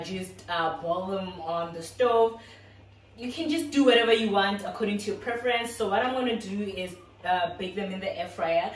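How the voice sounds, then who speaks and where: South African woman in an echoey kitchen. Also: mid-range voice. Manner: chatty, step-by-step explaining to viewers. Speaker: cooking vlogger. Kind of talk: casual cooking walkthrough. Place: small home kitchen, indoors. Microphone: camera a few feet away. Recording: echoey kitchen, distant mic.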